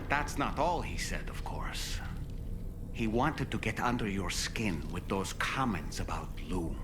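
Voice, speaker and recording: An actor playing a calm, reflective ally in a video game, speaking in an earnest, measured and formal way. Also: male voice, medium pitch, background noise. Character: calm, reflective male ally advising a weary courier. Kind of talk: dramatic video game cutscene dialogue.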